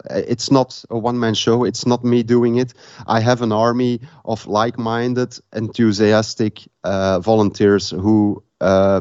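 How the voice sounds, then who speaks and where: A man from Flanders with a Flemish Dutch accent, speaking English as a second language, talking haltingly with many fillers as a guest in a casual interview. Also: on the low side, darkish tone, no echo, muffled recording. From Belgium, Flanders. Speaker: event organizer, guest.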